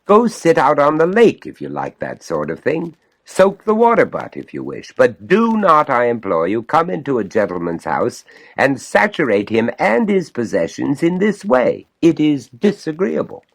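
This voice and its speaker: A man playing a testy, sardonic gentleman householder in a storybook reading, pompously polite and exasperated, with dry wit. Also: medium pitch, huge pitch swings, moderate pace, creaky, pitched above the performer's own voice, exaggerated voice. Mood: exasperated, pleading. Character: testy, sardonic gentleman master of a haunted manor.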